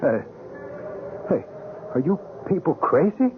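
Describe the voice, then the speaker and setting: Brash high-voiced American cabbie. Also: male voice, slow. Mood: panicked. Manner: colloquial, cheeky, excitable. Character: brash, chatty working-class male city cab driver. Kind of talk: 1970s radio drama acting. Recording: tinny old radio broadcast, faint noise.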